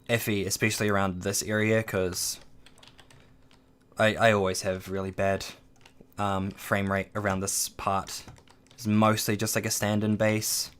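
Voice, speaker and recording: Low smooth-voiced man rambling on stream. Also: a bit bright. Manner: rambling, many ums, reads and answers chat. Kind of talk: casual game stream chat. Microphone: desk microphone.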